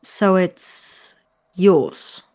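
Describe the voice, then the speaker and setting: An actor as wary, slow-spoken young sceptic. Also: mid-range voice, lively intonation, darkish tone. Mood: wary, reserved. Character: Christopher, wary, sceptical young friend of a scientist. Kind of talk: audio drama dialogue.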